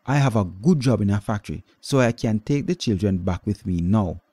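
A man voicing a loving young mother with a gentle, reassuring manner in a storybook reading. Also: on the low side, animated, fairly quick, no echo.

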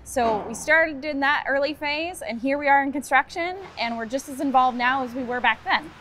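A woman in her thirties with a high, bright-pitched voice explains construction progress in a steady, measured way. She is recorded outdoors at a building site on a lapel mic, with faint site ambience behind her. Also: slight background noise. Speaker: project architect. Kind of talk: on-site interview.

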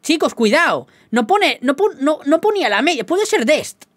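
A man's voice: extremely high pitch, sing-song, moderate pace, a bit bright, no echo, clean studio sound. A young man with a slight Galician-tinged Spanish accent, chatting casually and rambling to his viewers as a gaming YouTuber, often poking fun at himself. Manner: chatty, rambling, self-mocking, keeps addressing the viewers.

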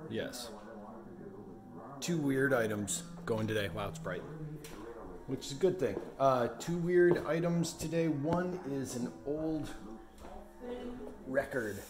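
A man in his forties chatting casually in a vlog about his day at home. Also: medium pitch. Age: 40s. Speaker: vlogger running an online resale business. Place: home office with bookshelves.

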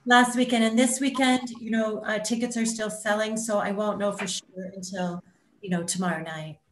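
A woman's voice: mid-range voice, steady tone, normal speed, slight background noise. A middle-aged woman, a theater organizer, giving an informal update on a video call. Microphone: computer on a video call. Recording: roomy, echoing video call audio.

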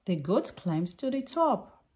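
A woman reading a picture book aloud for young children in deliberate, simple sentences. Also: mid-range voice, big pitch swings, noisy background.

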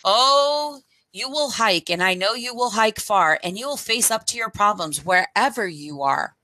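Storytime reader: middle-aged woman, bright forward tone, unhurried deliberate phrasing, headset mic. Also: medium pitch, animated, dry recording. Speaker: storyteller reading to children. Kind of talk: read-aloud picture book storytime. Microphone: headset microphone.

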